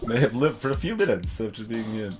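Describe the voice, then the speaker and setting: Man, mid-range voice, casual gameplay commentary, halting, thinking aloud, light background music. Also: mid-range voice, very animated. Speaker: let's player voicing game characters. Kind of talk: casual gameplay commentary mixed with read dialogue.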